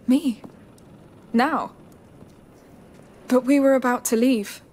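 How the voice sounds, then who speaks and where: A woman's voice: sits high, slow, a little mellow. A woman playing an earnest, protective teenage girl in a video game cutscene. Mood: uneasy, polite.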